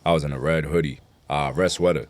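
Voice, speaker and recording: Deep-voiced New York man, slangy. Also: steady tone, fast talker, dry recording. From New York City. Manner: slangy, profanity-laced, frequent "you know". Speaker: rapper, interview guest. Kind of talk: casual podcast interview talk.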